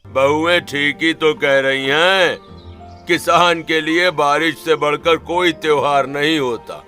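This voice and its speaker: Here is a man acting an elderly farmer patriarch in a Hindi cartoon story. He speaks slowly and with weight, commanding his household. His voice is forceful, with faint music behind it. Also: a little high, steady tone. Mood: weighty, reassuring. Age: older. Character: elderly farmer father, weary, devout, commanding.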